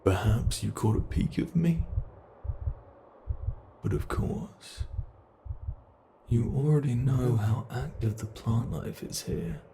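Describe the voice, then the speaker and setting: A man's voice: medium pitch, gravelly, mellow, slight background noise. A man with a British accent playing a mocking male monster who hunts a human, in an ASMR horror roleplay. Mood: teasing. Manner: mocking, drawn-out coaxing, impatient. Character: teasing predatory male monster hunting a human.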